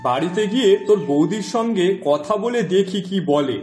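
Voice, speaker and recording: Man acting high-voiced, mild village farmer. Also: animated, fairly quick, a little mellow, reverberant, faint music behind. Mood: curious, agreeable. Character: mild henpecked village farmer, husband and father. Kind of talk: Bengali moral-story cartoon voice acting.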